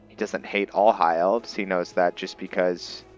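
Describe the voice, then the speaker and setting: A man giving casual roleplay gameplay commentary, thinking aloud in short, halting phrases as he plays. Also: deep voice, steady tone, highs cut off. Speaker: gaming video host, player. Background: game music.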